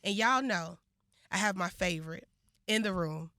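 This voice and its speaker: A woman with an American accent and a very bright, forward voice, interviewing on the radio in a close, dry sound. She is effusive and playful, chatting in casual slang like she is among friends. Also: mid-range voice, normal speed, no echo. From Chicago area, United States.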